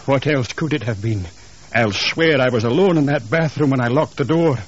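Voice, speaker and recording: A man's voice: medium pitch, moderate pace, breathy edge, muffled recording, pitched above the performer's own voice, natural voice. A man voicing a shaken, insistent husband in a ghost story, earnest and nervy. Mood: insistent, defensive.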